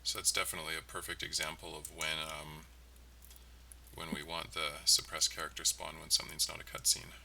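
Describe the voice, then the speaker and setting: A man giving casual commentary as he codes on a livestream, thinking aloud in halting phrases. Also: very deep voice. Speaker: game developer, livestream host. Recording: clearly noisy, distant mic, keyboard clicking. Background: typing, mouse clicks, steady noise.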